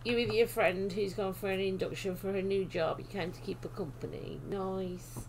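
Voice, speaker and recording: A British woman chatting casually as she livestreams a game, unhurried and half-absorbed, her voice slightly going. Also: mid-range voice, steady tone, background noise. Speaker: livestream host. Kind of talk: casual livestream chat while gaming. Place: indoor room at home.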